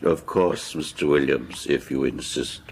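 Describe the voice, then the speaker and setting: An older man acting a courteous, sinister, ancient grandfather in a radio horror drama, speaking formally with quiet insistence. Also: rumbling low, unhurried, creaky, heavily acted. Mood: softly menacing.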